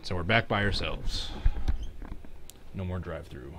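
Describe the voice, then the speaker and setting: A man's voice: low voice, a little slow, background noise. A man with a Midwestern American accent, chatting casually and reacting live to a race as a stream host.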